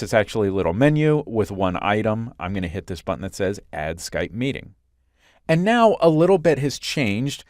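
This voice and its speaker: A man working as an instructor, narrating a software screencast in a clear, step-by-step explanatory way. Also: medium pitch, no room sound, clean studio sound.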